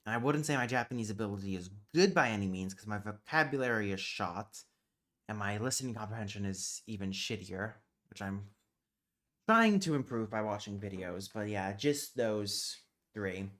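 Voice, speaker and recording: Lower-voiced man thinking aloud on stream. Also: moderate pace, a bit bright. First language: British Sign Language. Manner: thinking aloud, muttering while working; easily exasperated, swears under breath. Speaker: livestream host. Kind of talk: casual livestream chat.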